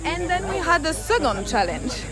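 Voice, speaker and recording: A woman from Belgium explaining a design project she worked on, pausing often with fillers and restarts. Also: extremely high pitch, very animated, music in the background. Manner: halting, many fillers and restarts. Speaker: design challenge participant.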